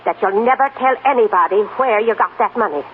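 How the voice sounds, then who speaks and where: A woman's voice: fairly high pitch, fairly quick, natural voice. Feisty old pioneer grandmother played by an actress, tinny radio. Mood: feisty, resolute. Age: older. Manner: blunt, no-nonsense. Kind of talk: 1940s radio acting. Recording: tinny, noisy 1940s radio recording.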